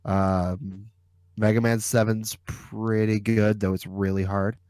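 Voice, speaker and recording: Low-voiced man hosting a casual podcast. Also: level tone, slow, a little mellow, no room sound, clean studio sound. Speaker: podcast host. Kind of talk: casual group podcast chat.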